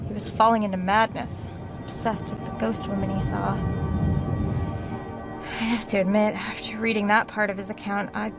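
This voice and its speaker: A woman's voice: medium pitch, level tone, moderate pace, gravelly, long pauses, over loud music, lightly acted. An actress playing an uneasy woman writer investigating a haunted house, conversational, wry and self-doubting, in a horror audio drama.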